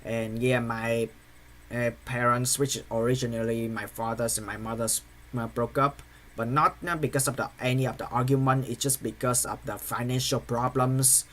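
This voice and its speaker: A young man with a Vietnamese accent speaks in English, which is not his first language, in a halting, hesitant way, full of fillers and restarts. His mid-range voice often trails off quietly as he gives an apologetic personal confession. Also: medium pitch, steady tone, slight background noise. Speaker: channel host.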